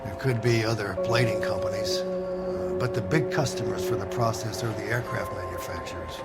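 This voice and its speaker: An actor playing a middle-aged company boss in a video game, hesitant, guarded and self-justifying. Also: male voice, on the low side, expressive, normal speed, loud music behind the voice. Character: defensive, evasive businessman, company boss under questioning. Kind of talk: video game dramatic acting.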